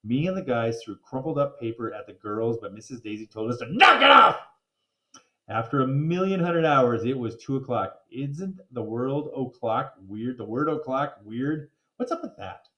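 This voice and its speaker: A man reading a children's story aloud in a playful, expressive way with theatrical emphasis. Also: medium pitch, normal speed. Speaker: reader of children's book. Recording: roomy, echoing room.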